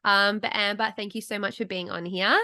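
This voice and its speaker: Bright chatty Australian woman host. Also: medium pitch, steady tone, brisk, no echo. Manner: chatty, swears casually, laughs often. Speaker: podcast host. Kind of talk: casual chat interview.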